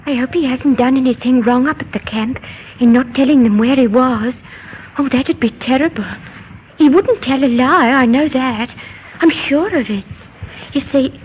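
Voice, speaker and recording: A woman's voice: sits high, normal speed, close to an everyday voice. An actress playing a small, frightened young girlfriend pleading anxiously in a vintage radio drama. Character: small, frightened, anxious girl, a soldier's sweetheart. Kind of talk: 1950s radio drama acting. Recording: muffled, noisy old radio recording.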